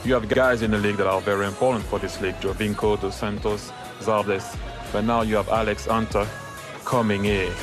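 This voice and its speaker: A man with a lowish voice offers sports commentary in an interview style. Music is clearly audible behind him. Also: level tone, fairly quick.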